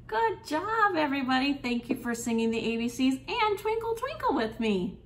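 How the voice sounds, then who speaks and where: A woman hosting an interactive children's storytime, speaking in an encouraging, sing-song way and pausing with questions for young listeners. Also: high voice, sing-song, a bit bright, echoey room. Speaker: children's storytime librarian and host. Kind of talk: interactive children's storytime, reading aloud and leading songs.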